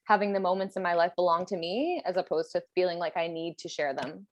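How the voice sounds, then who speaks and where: A woman in her thirties chatting casually and candidly as a podcast host, thinking out loud with plenty of "like". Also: fairly low pitch, steady tone, fast. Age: 30s. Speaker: podcast host and personal trainer.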